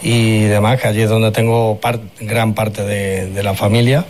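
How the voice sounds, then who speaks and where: A man's voice: lowish voice, little pitch movement, measured pace, a little mellow, slight background noise. A man from Spain, a flamenco singer, talking in a loose, rambling way about his life in a radio interview. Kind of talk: casual interview.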